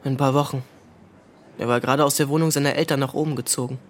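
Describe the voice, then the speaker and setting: A young actor playing a self-assured, probing teenage boy from a wealthy family in a radio drama, cool and deliberate. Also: mid-range voice, fairly even intonation, close to an everyday voice. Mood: cool recounting. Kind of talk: German radio drama, recounting and dialogue.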